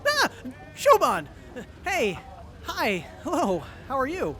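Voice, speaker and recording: Nervous, earnest young male tinkerer played by a man for audio drama; stammery, flustered, very animated pitch. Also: slow, heavily acted. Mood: flustered, anxious. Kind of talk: post-apocalyptic audio drama acting.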